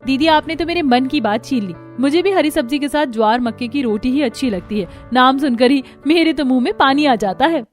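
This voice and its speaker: A woman plays an eager young village bride in a family cartoon. The voice is very high, over light background music. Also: a little fast, hardly any pauses, close to an everyday voice. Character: eager young daughter-in-law who loves village food. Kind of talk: Hindi family cartoon voice acting.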